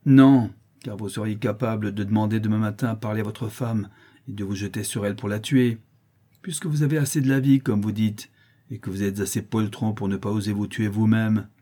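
A man's voice: fairly low pitch, steady tone, fairly quick, mellow, close to an everyday voice. A man voicing a sly, mocking crippled street boy in a dramatized audiobook. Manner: jeering, sneering.

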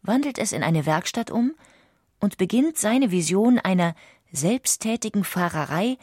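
A woman narrator reading a scripted history documentary in a measured, articulate way. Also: mid-range voice, animated, darkish tone, no room sound, studio quality. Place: dry studio.

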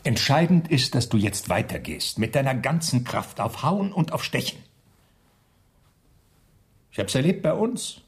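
An actor in a German radio drama playing a cold, scornful Nazi functionary with a husky, gravelly voice. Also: male voice, medium pitch, big pitch swings, unhurried, long pauses, heavily acted. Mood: urgent, hard. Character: calculating male Nazi camp functionary, scornful, zealous, cold.

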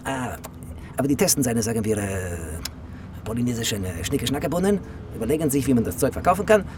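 Animated slick male wheeler-dealer, played by an actor. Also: medium pitch, fast talker, music in the background, close to an everyday voice. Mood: scheming, excited. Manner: rambling, salesman-like, animated, with Spanish interjections. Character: slick, rambling male wheeler-dealer peppering German with Spanish. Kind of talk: radio crime drama acting.

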